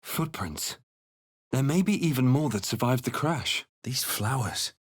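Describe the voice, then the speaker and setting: An actor playing a brooding male fantasy adventurer who recounts his journey in a grave, measured, theatrical way for a video game. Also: mid-range voice, expressive, breathy edge, no room sound, clean studio sound. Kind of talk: scripted dramatic narration and game character barks.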